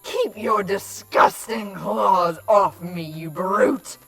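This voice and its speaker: A woman's voice: mid-range voice, slow pace, gravelly, fairly bright tone, faint music behind, full character voice. A woman voicing a haughty, venomous monster queen in an indignant, imperious fan fiction reading. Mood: disgusted, indignant.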